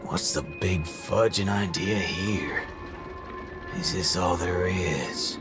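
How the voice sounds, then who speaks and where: A man voicing a gruff, cocky cowboy gunslinger in a video game, with a swaggering drawl. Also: sits low, slow pace, over loud music. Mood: irritated.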